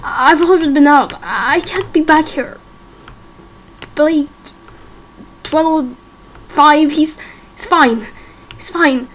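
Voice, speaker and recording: A woman playing a confused, frightened young amnesiac in a game roleplay, speaking in halting fragments, with some background noise. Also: sits high, fairly bright tone. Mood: anxious, confused. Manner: halting, fragmented, self-questioning. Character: confused, frightened young amnesiac part-creature, vowing peace. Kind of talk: amateur game roleplay acting.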